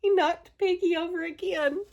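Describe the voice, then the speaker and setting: A woman's voice: very high-pitched, fairly even intonation, normal speed, gravelly, a little mellow, dull audio. A woman reading a storybook aloud to children, adding chatty asides and questions for the listeners.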